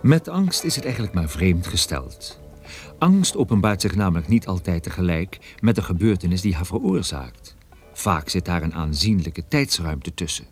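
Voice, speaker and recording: An actor playing a private detective who recounts his adventure in measured, reflective first-person radio play narration. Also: fairly low pitch, a little mellow, breathy edge, dry recording.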